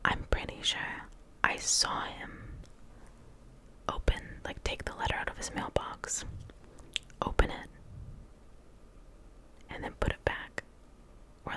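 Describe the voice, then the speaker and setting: A woman's voice: sharp tone, rough recording. A young woman whose first language was Portuguese, telling a rambling, self-mocking story in a close-miked ASMR whisper. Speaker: ASMR creator.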